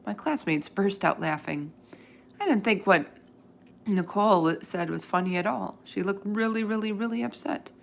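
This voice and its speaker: A woman reading a children's book aloud as a storyteller, expressively and with animated emphasis. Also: mid-range voice, normal speed, creaky. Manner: animated emphasis, acts out character dialogue.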